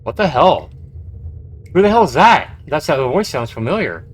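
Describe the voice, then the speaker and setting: A man's voice: fairly high pitch, big pitch swings, normal speed, a little hiss or hum. A man live-streaming a game, reading its text aloud and adding casual, reactive commentary. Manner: reactive, conversational.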